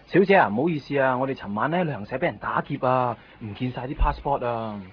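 A man's voice: medium pitch, fast, a little hiss or hum, close to an everyday voice. Casting: a man as flustered, apologetic Hong Kong shop clerk, medium register, dull radio recording. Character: apologetic, flustered male travel agency worker. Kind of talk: radio drama acting.

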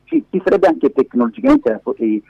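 Husky, animated man on a muffled phone. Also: high voice, fairly quick. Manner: digressive, emphatic, explanatory. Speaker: interview guest, media activist and lab director. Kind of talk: casual spontaneous radio conversation. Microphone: phone held against face. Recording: muffled, echoey phone call on radio.

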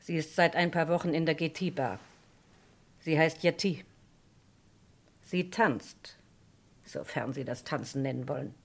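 A woman's voice: sits low, a little slow, vocal fry, long pauses, full character voice. An actress playing a guarded, reluctant lady who defends her friend under questioning in a German radio crime drama, speaking formally and earnestly.

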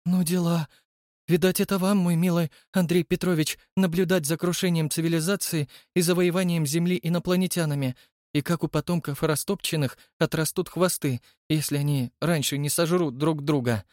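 A man's voice: a little high, steady tone, normal speed, darkish tone, no room sound, clean studio sound, voice pushed up, close to an everyday voice. A man voicing a sad, sardonic young woman who speaks with wistful irony and tenderness. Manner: wistful, ironic.

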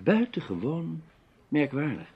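A man acting a keen, methodical detective in an old Dutch radio drama, probing and deliberate, on a dull recording. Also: fairly high pitch, big pitch swings, slight background noise. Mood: probing, thoughtful. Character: keen, methodical amateur detective piecing a mystery together.

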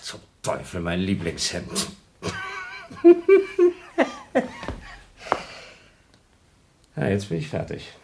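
A man playing an impatient, excitable astronaut trapped on a moon station, in an urgent radio play style. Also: sits low, a little slow, vocal fry, a little hiss or hum, heavily acted. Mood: restless, irritated.

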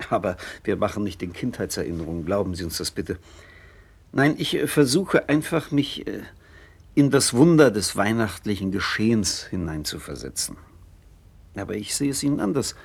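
Radio play father: middle-aged, earnest, sentimental, politely hesitant, low husky voice. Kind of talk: German radio play dialogue.

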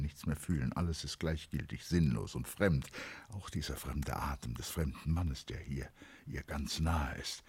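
An actor as a grave, brooding narrator of a literary radio drama, with a husky, breathy voice. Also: male voice, extremely low pitch, no room sound, heavily acted.

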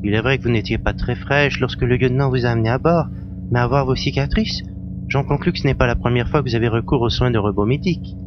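A man's voice: medium pitch, quick pace, close to an everyday voice. A man playing a courteous young doctor aboard a spaceship in an audio drama. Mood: courteous, attentive. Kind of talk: French audio drama acting. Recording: dull lo-fi sound with humming noise.

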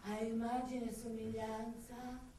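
A woman acts out a blunt male naturalist in a radio monologue, hushed and dripping with scorn. The voice drops well down and speaks insults slowly. It sounds distant, in a heavily echoing space. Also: a little high, flat delivery, heavy background noise, voice pushed down. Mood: quiet scorn. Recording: very echoey, distant, music behind.

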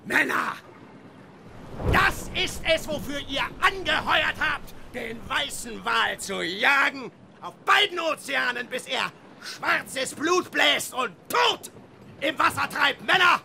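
An actor playing an obsessed, tyrannical old whaling captain in a radio drama, with commanding, theatrical oratory. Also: male voice, very high-pitched, animated, takes it slowly, vocal fry, noisy background, full character voice. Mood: fanatical.

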